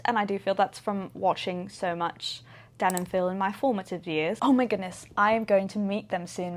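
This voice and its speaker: A young woman of twenty chatting casually to her viewers at a middling pitch. She rambles loosely, filling her sentences with "like" and "um". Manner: rambling, filler-heavy, lots of "like". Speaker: vlogger.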